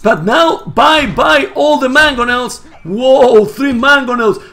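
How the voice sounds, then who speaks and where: A hoarse, Spanish-native man casting a live strategy game match, getting louder and repeating himself at big plays. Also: very high-pitched, takes it slowly. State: hoarse, losing his voice. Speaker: esports caster. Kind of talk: excited live game commentary.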